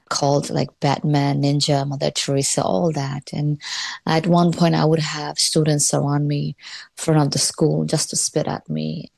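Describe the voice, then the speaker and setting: Low, creaky-voiced woman from Bangladesh. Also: darkish tone, no room sound. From Bangladesh, moved to New York at eleven. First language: not English. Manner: often punctuates with "you know". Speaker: interviewee. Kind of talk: personal storytelling, conversational.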